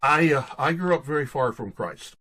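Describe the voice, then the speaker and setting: A man's voice: medium pitch, lively intonation, normal speed, sharp tone. A middle-aged man from Pittsburgh speaking as an interview guest, telling personal stories in a folksy, conversational way. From Pittsburgh, United States. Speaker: guest, pastor and author.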